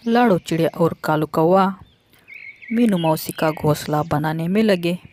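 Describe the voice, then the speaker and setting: A woman reading scripted narration for a children's animated story. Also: on the low side, unhurried, a little hiss or hum.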